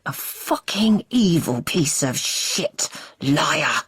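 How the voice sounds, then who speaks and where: A man's voice: a little high, sing-song, very slow, fairly bright tone, breathy edge, dry recording, studio quality, heavily acted. A woman voicing a furious, growling abusive man. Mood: hissing rage. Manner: growling, strangled rage, whispered menace.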